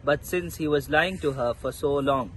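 Young guy narrating a story outside, highish voice, bit of background noise. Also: moderate pace, fairly bright tone, low-quality recording. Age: young adult. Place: outdoors in a park. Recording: faint outdoor background noise.

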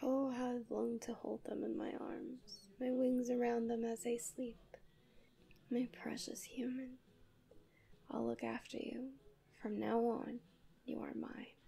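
Guardian angel female performer, ASMR audio roleplay. Lovestruck and protective, gently possessive; soft, dark-toned, intimate delivery. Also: on the high side, level tone, slow pace, pauses often. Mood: soothing, possessive. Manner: soft, soothing, often breathy half-whispers.

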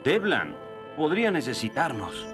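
A man's voice: a little high, sing-song, a little slow, loud music behind the voice. A man with a Latin American Spanish accent voicing an eager, impatient young rebel hero in a cartoon dub. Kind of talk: dubbed cartoon acting.

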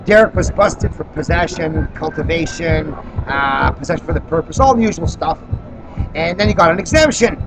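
A man hosting an informal on-the-spot interview, telling stories in an animated way and acting out quoted dialogue. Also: a little high, moderate pace, low-quality recording. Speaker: interviewer and activist introducing a guest. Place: busy expo venue. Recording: music behind the voice. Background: music.

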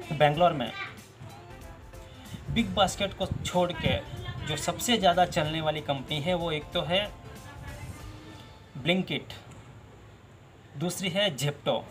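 A man's voice: a little high, fairly bright tone. A man in his thirties hosting a job channel, giving direct-to-camera advice outdoors by a wall, gesturing and repeating key points.

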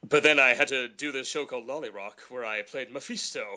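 A man, a voice actor, chatting casually in an interview, telling animated stories about his work. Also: mid-range voice, brisk. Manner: energetic, animated storyteller who slips into character voices. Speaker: voice actor guest.

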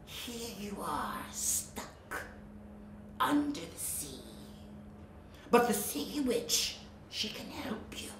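A woman voicing a sly, sneaky sea snake who coaxes in a soft, whispery voice. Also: mid-range voice, very animated, very slow, pauses often, far from the mic, slight background noise, exaggerated voice. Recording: roomy echo.

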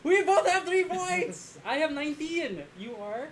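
A young man playing a board game in a small room, excitable and boastful, laughing often, heard from a camera across the table. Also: extremely high pitch, a little slow, a little hiss or hum. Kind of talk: casual game banter.

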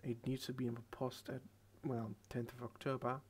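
A tired young man hosting a late-night ASMR video, rambling in a soft half-whisper into a headset microphone at his computer desk. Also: mid-range voice, little pitch movement, moderate pace, husky. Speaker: channel host.

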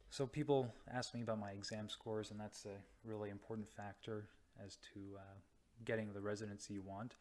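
A young man from North America, a radiology resident, explaining slides slowly with many pauses and restarts. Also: on the low side, little pitch movement, darkish tone. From United States or Canada. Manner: slow, hesitant, many ums and restarts. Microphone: voice-over to slides.

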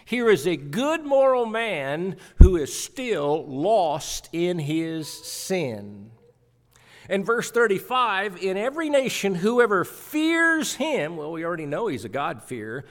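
Male preacher, middle-aged, slightly high voice with some gravel, emphatic scripture exposition. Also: very animated, slow. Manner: emphatic, dramatic swings, repeats phrases for emphasis. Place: church auditorium, at a pulpit. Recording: reverberant church hall sound.

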